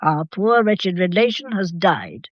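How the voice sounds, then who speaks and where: A woman's voice: fairly low pitch, very animated, a little slow, no room sound. A stern, aged family matriarch in a period mystery drama. She speaks with proud, formal command and open disapproval, in a close, dry recording that sounds slightly dull. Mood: imperious, disapproving. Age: older. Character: imperious old matriarch grandmother, stern and proud.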